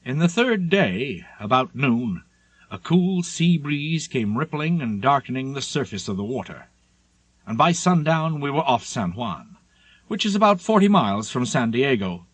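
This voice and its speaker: A man narrating a seafaring memoir as an audiobook, reading scripted prose in a measured, literary storytelling manner. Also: medium pitch, big pitch swings, no echo.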